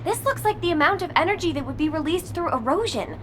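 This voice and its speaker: A woman's voice: very high-pitched, expressive, quick pace, slight background noise. An actress playing an eager, caring young female sidekick in a video game. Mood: curious. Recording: music behind.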